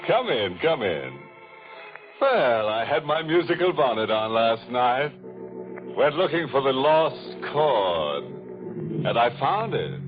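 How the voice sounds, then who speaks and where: A man playing a gleefully morbid horror host on vintage radio, theatrical and sardonic with drawn-out phrasing. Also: mid-range voice, sing-song. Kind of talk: 1940s radio horror hosting. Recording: dull vintage radio recording with music.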